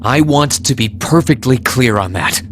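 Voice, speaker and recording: A man's voice: medium pitch, lively intonation, moderate pace, a bit bright, close to an everyday voice. An actor playing a polite, loyal and resolute young male student in a video game, speaking sincerely. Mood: earnest, firm. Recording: music behind the voice.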